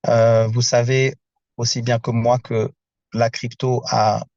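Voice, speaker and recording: A man talks from a car over a video call, the sound dull and lo-fi with no room echo at all. His voice sits at an ordinary middle pitch. He speaks in a casual, halting way, pausing often to hunt for the right word in a language that is not his first. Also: flat delivery, gravelly, a little mellow. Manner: halting, searching for words in a second language. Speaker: founder presenting a project. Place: inside a car.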